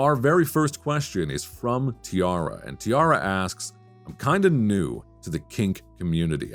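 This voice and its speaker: A man hosting a podcast in a late-night radio chat style, speaking in a measured, articulate and thoughtful way. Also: low voice. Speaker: podcast host; voice actor.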